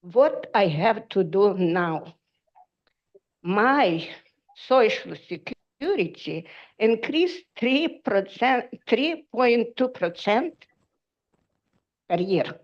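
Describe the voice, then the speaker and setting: Older ukrainian lady, broken english, slow and pleading, muffled meeting audio. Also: medium pitch, animated. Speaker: public commenter, tenant. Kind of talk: public comment at a council meeting.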